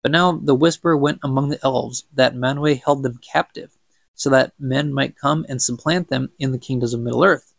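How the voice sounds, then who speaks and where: A man's voice: mid-range voice, normal speed, vocal fry, no room sound. A young man with a stuffy nose, reading a book aloud for a podcast and chatting about it, stumbling over words and laughing at his slips. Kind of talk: casual book reading with commentary.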